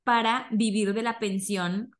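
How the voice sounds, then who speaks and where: A woman's voice: a little high, fairly even intonation, unhurried. A young woman with a Mexican accent telling stories in a casual, chatty, gossipy way as a podcast host. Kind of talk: casual conversational storytelling.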